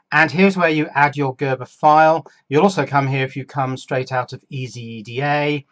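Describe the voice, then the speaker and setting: A middle-aged man narrating a hands-on electronics tutorial in a casual, unscripted way, thinking aloud as he works. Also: medium pitch. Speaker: electronics hobbyist presenter.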